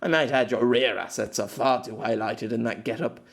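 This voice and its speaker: A man's voice: medium pitch, moderate pace, vocal fry, close to an everyday voice. A man voicing a grumpy, condescending novelist with a pompous, exasperated, sardonic manner. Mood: musing, condescending.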